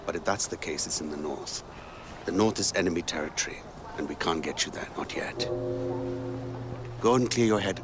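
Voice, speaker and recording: An actor playing a commanding, brotherly but impatient rebel leader in a video game cutscene. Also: male voice, low-pitched, expressive, moderate pace, long pauses, over music. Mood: calming. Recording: game soundtrack and noise behind.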